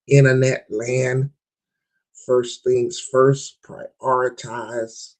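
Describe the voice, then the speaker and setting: A man hosting a live-streamed podcast, his voice hoarse and raspy, opening with loud hype before settling into easygoing, rambling chat. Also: mid-range voice, low-quality recording. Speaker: podcast host.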